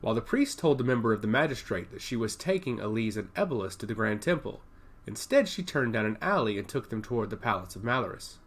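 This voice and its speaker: A man's voice: fairly low pitch, big pitch swings, quick pace. A man reading a scripted fantasy story aloud as its narrator.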